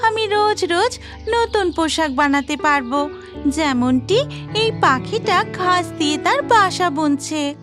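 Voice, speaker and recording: A woman's voice: very high voice, lively intonation, unhurried, over music. A woman voicing a cheerful young tailor fairy, polite and full of exclaiming wonder, in a children's cartoon. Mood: inspired.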